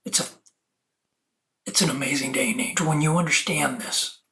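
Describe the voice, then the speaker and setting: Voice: medium pitch, moderate pace, sharp tone. A video host giving a rambling spiritual monologue to viewers, preachy and earnest, leaning emphatically on wordplay and split-up words.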